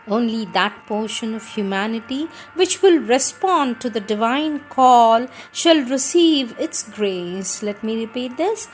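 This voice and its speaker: A woman reading scripted spiritual teachings in a measured, deliberate, emphatic manner. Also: fairly high pitch, poor audio.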